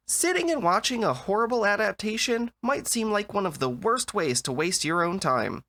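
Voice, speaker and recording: Deadpan man with bright, swooping, higher-pitched voice. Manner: deadpan, sarcastic delivery. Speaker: video essay narrator. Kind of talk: scripted comedic narration.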